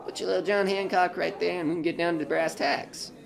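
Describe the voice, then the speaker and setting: A man's voice: high-pitched, flat delivery, fairly quick, gravelly, music in the background. A man playing a folksy, slyly cheerful salesman of devilish contracts in a retro horror audio drama, coaxing with colloquial patter. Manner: wheedling, colloquial patter with tacked-on questions.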